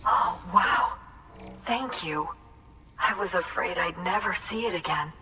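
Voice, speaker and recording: An earnest, anxious woman photographer in a video game story. Her voice is on the low side and she speaks in a heartfelt, hesitant way. It sounds slightly distant, with a faint noise underneath. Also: slow pace. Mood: relieved, grateful. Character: earnest, anxious female photographer carrying on her late father's research. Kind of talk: naturalistic video game cutscene acting.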